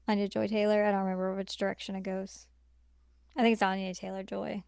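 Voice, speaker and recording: A woman's voice: mid-range voice, flat delivery, fast, vocal fry, a little mellow, clear, resonant tone, no echo. Woman, podcast co-host, dry close sound, clean tone, informal film chat, conversational filler-laden delivery. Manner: conversational, filler-heavy with frequent "like". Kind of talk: casual chat reviewing films.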